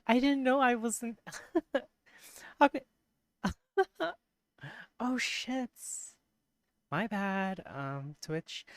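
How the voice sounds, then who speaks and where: A live streamer with a tired, hoarse voice, chatting casually with viewers, giggling often and correcting themself mid-sentence. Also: mid-range voice, fairly bright tone, dry recording. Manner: giggly, laughs often between remarks; rambling, self-correcting. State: voice worn and hoarse from long streaming.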